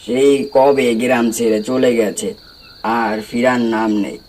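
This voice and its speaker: A man's voice: medium pitch, steady tone, slow, darkish tone, slight background noise, natural voice, poor audio. A man playing a villager in a cartoon horror story, plain-spoken and fondly grumbling as he misses old company. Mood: fond, wistful. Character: low-voiced village man missing an old friend.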